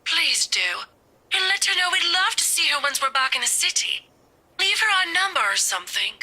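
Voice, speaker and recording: A woman acting as a warm, wistful middle-aged mother in a video game, affectionate and gently coaxing. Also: very high-pitched, sing-song, normal speed, full character voice. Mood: gentle, accepting.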